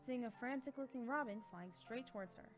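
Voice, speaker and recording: A woman's voice: a little high, a little fast, faint music behind. A woman reading scripted third-person fiction aloud as a storyteller. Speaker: narrator.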